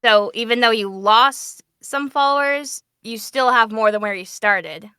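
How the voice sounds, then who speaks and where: A woman's voice: a little high, unhurried, sharp tone, clean tone, dry recording, studio quality. A woman who works as a voice actor, talking informally and upbeat as she explains things on a panel. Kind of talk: casual panel Q&A chat.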